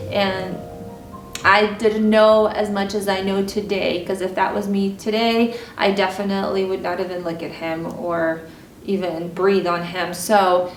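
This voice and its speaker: A woman's voice: mid-range voice, recorded from a distance. A woman from Ukraine whose first language is Russian, telling personal stories to camera in a candid, conversational way with many fillers. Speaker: style and mindset vlogger. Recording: roomy echo with music bed.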